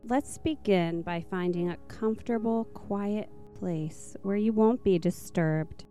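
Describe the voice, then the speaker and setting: A woman narrating a guided meditation in a soft, slow, soothing manner. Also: mid-range voice, soft background music.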